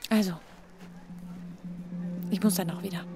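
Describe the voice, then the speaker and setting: Creaky-voiced, resigned former sweetheart. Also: female voice, medium pitch, fairly even intonation, a little slow, noisy background. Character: resigned young East German former sweetheart. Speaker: actress in a radio drama. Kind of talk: intimate radio drama dialogue.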